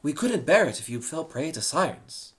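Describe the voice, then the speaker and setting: A man's voice: on the high side, very animated, normal speed, fairly bright tone, pitched above the performer's own voice. A man voicing an earnest, dryly sensible slave woman in a humorous storybook, speaking up matter-of-factly. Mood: worried.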